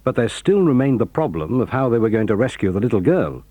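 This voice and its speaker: A man narrating a storybook in a measured, suspenseful way. His tone swings with the story. He sounds dry and close, with no room around him. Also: mid-range voice, sing-song, warm tone, no echo.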